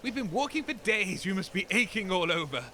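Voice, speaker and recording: A man's voice: high voice, animated, fairly quick, pushed and bright, a little hiss or hum. A man playing a grumbling, scheming, pompous radio DJ with a British accent in a comedy audio drama, theatrical and prone to stammering. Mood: sly, evasive. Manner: theatrical, stammering when evasive, grandiose.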